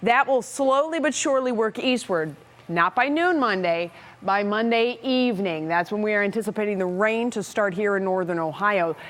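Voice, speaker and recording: A woman's voice: medium pitch, moderate pace, fairly bright tone. An American woman presenting a local TV weather forecast in an explanatory, conversational way.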